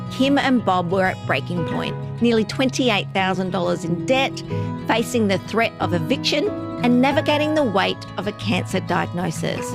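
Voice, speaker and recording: A woman hosting a podcast, encouraging and upbeat with her guests. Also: mid-range voice. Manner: upbeat, encouraging, with warm laughs and affirmations. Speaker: podcast host. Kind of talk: scripted intro then interview chat.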